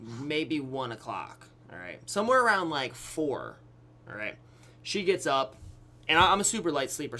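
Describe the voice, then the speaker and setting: A young adult man with a bright, punchy voice tells a candid story in a casual online video style. He acts out bits of dialogue, leaves dramatic pauses and keeps checking in with his listeners. His pitch sits in an ordinary male middle range. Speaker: YouTube storyteller.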